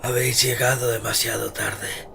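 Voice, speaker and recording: An actor playing a dying old necromancer in a fantasy radio drama, menacing, with slow, labored, halting speech and a gravelly, breathy rasp. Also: male voice, medium pitch, flat delivery, pushed and bright, slight background noise. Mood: dying menace. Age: older. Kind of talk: fantasy audio drama acting.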